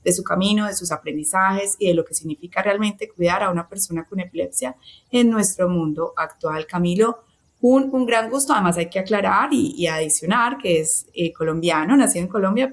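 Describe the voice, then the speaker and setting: Woman cohost, kinda low voice, formal podcast intro, couple of "eh"s. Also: gravelly. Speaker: co-host.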